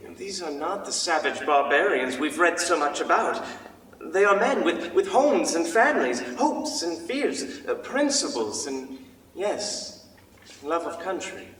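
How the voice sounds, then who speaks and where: A young man playing a thoughtful, humane British soldier narrating a letter in reader's theater, with expressive, deliberate pauses. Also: a little high. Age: young adult. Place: large theater stage indoors. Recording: echoey hall, distant mic, noisy.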